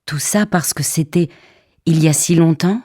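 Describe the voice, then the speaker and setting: A woman reciting poetry for an audiobook in a solemn, measured manner, with a husky, breathy voice and deliberate phrasing. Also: low voice, dark tone. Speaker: poetry reader. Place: radio theatre recording studio.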